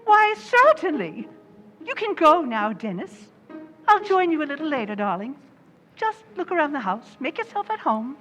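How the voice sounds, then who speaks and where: Woman playing a high-pitched, gushing spoiled movie queen. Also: sing-song, normal speed, fairly bright tone, soft background music. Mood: sugary, bossy. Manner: theatrical, gushing, imperious. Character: spoiled, gushing, self-adoring female movie star called Madame. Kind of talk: old-time radio suspense acting.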